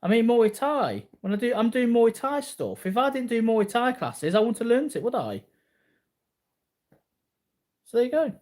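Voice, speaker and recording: A man's voice: very high-pitched, very animated, moderate pace, long pauses, darkish tone. A man in his early forties talking casually to viewers in a rambling, repetitive, boastful way. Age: early 40s. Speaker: martial arts vlogger.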